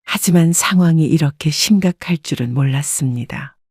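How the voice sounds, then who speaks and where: Older woman narrator, calm measured first-person storytelling, dry close sound. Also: sits low, slightly rough, dry recording.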